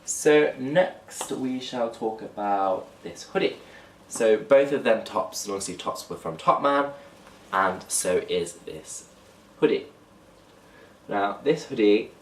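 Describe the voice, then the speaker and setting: A man's voice: fairly low pitch, far from the mic, slight background noise. A young man with a slightly blocked, nasal voice, chatting casually to camera in a clothing haul vlog. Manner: chatty, talks to camera while holding up clothes. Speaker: fashion vlogger. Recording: echoey room, voice off-mic.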